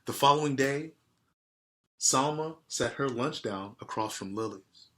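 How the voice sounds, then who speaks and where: A man reading a storybook aloud and speaking directly to his listeners in a deliberate way. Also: medium pitch, sharp tone. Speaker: read-aloud host. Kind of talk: storybook read-aloud with casual asides to listeners.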